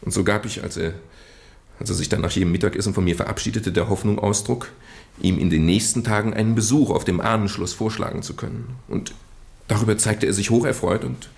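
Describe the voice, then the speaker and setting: A man acting in a German radio drama as a British gentleman nephew, earnestly and haltingly confessing a well-meant deception in genteel, roundabout phrases. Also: on the low side, fairly even intonation, gravelly. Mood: rueful, hesitant. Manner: hesitant, roundabout, genteel storytelling with stammered repeats.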